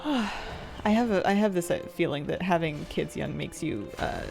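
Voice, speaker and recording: A woman in her thirties chatting casually while she plays a game, rambling and halting with restarts and soft laughs. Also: fairly low pitch, animated, gravelly, soft background music. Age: 30s. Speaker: streamer. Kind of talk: casual chat while gaming.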